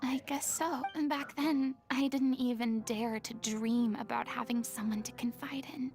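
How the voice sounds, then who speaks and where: A woman's voice: fairly high pitch, steady tone, unhurried, a little mellow, faint music behind. A woman playing a proud, guarded, wistful young former stage idol in a video game, with a theatrical, confessional manner. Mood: wistful, sorrowful. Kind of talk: video game character dialogue.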